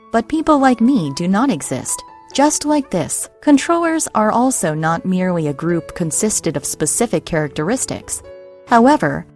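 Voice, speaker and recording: A woman's voice: medium pitch, a little mellow. A woman reading a scripted explainer narration in a formal, read-out manner. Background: background music.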